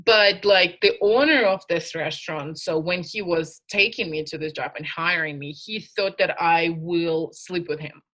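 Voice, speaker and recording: A woman's voice: fairly low pitch, normal speed, hardly any pauses, poor audio. A young woman from Russia, a native Russian speaker, co-hosting a casual podcast and chatting frankly and animatedly. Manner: frank, animated, laughs easily. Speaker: podcast co-host.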